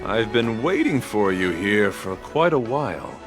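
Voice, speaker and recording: An actor playing a gallant young male knight who speaks in a formal, measured, courtly way, with game music behind. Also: fairly low pitch, very animated. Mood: calm, courtly.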